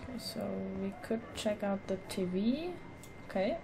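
A woman hosting a casual let's play, commenting in a hesitant, low-key way. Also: expressive, moderate pace, background noise. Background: video game ambience.

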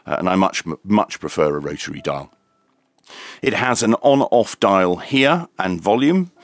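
A man presenting a scripted radio review in a deadpan, tongue-in-cheek manner. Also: lowish voice, no room sound. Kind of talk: scripted product review narration with dry jokes.

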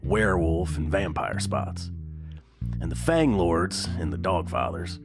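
An actor playing an amused old musician reminiscing in a laid-back, folksy way for an audio documentary. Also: male voice, low-pitched, expressive, a little slow, music in the background. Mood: amused reminiscence. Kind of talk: documentary interview acting.

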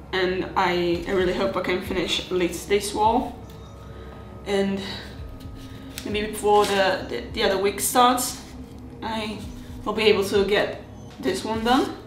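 A woman in her thirties casually narrating her hobby build, chatty and halting, with many restarts and fillers, recorded at home on a desk camera mic. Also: mid-range voice, fairly even intonation, a bit bright, echoey room, faint music behind, low-quality recording. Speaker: miniature terrain hobbyist.

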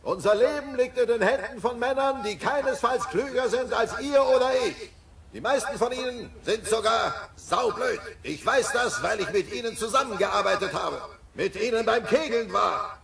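An actor playing a bumbling, earnest, excitable middle-aged cartoon father in a German-dubbed audio play, speaking in a declamatory way. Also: very high-pitched, moderate pace, creaky, heavily acted. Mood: rousing, indignant. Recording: noisy old cassette audio play.

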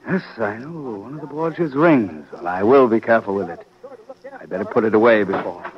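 An actor playing a callous, smooth-talking two-timer with melodramatic old-time radio delivery. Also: male voice, lowish voice, animated, a little fast, close to an everyday voice. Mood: polite, uneasy. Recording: dull old radio drama recording.

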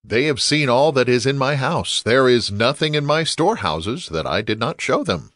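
A man's voice: mid-range voice, big pitch swings, normal speed, a bit bright, no echo, clean studio sound. An actor playing an ailing, devout king in a dramatized scripture reading, speaking earnestly and theatrically. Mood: composed. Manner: earnest, poetic, theatrical delivery.

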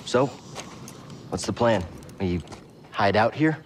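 An actor playing an earnest, wary young hero in a game, speaking plainly and with understatement. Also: male voice, fairly low pitch, animated, slow, slight background noise. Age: young adult. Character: earnest, wary young man, budding Jedi. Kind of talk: game cutscene acting.

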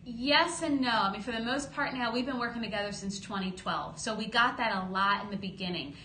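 A woman in her thirties, a boxing promoter, telling her story in a lively podcast interview at a close studio mic. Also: on the high side, fairly quick. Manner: lively storytelling, gestures while talking, acts out quotes. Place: small studio office, indoors. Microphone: studio boom mic close up. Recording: roomy studio with faint hum.

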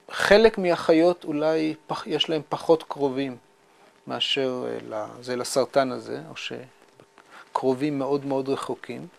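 He is a man in his fifties with a rather high voice. It has plenty of fry and gravel in it. He explains like a scientist in an interview, measured and careful. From Israel. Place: small indoor room with bookshelves.

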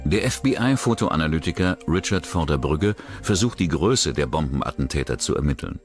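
A man giving a measured, grave scripted narration for a crime documentary. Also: extremely low pitch, animated. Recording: voice-over over loud music.

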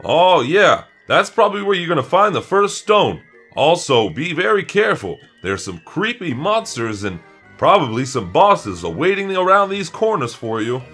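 A man's voice: on the high side, big pitch swings, normal speed, a bit bright, husky, faint music behind, exaggerated voice. A man playing a helpful advisor who guides superheroes in a video game roleplay. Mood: helpful, cautioning. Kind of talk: improvised Minecraft roleplay.

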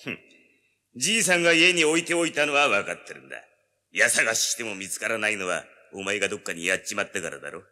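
An actor playing a sleazy, blustering yakuza boss in a radio drama, with rough, oily gangster talk. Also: male voice, fairly low pitch, expressive, quick pace, bright, forceful tone. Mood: sneering menace. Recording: long hall-like reverb.

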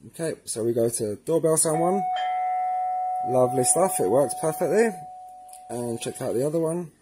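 A man's voice: a little high, expressive, moderate pace, over loud music, rough recording. A man hosting a how-to video, talking informally and chattily as he explains things step by step. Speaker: host of a how-to video series. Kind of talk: casual tutorial narration.

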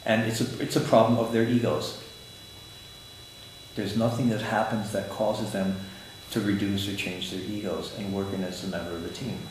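An older man with a lowish voice explains his ideas calmly and deliberately, with the odd dry joke. The sound is very roomy, picked up by a camera a few feet away in an office, with a faint hum of ambience behind him. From Switzerland, raised in the United States. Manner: measured, explanatory, dry humor. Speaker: interview guest, agile consultant. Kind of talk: conference interview. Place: office room, indoors. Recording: echoey room with faint ambience.

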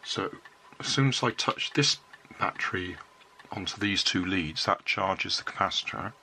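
Older man with a bit of a cold, lowish voice, crisp bright tone, talks you through his workbench build. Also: a little slow, slight background noise. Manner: calm step-by-step explaining. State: has a cold. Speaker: electronics hobbyist. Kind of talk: casual workbench demonstration. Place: indoor hobby workbench.

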